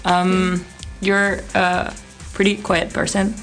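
Flat-voiced young woman from France. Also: on the low side, slow pace, faint music behind. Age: young adult. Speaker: guest, student. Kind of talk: casual chat.

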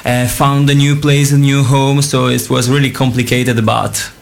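A man's voice: medium pitch, little pitch movement, moderate pace, vocal fry. A young man from Italy with an Italian accent, rambling casually in imperfect English as a student guest. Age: young adult.

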